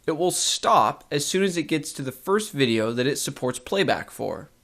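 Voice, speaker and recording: A man narrating a scripted tutorial as a course instructor, measured and clearly enunciated. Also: on the high side, expressive, a bit bright. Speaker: web design course instructor.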